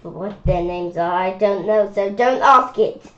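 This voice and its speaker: Woman, children's read-aloud, slow pace, halting and repetitive phrasing, medium pitch, slightly muffled lo-fi recording. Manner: halting, stumbling over words and repeating phrases. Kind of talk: informal read-aloud of a rhyming picture book.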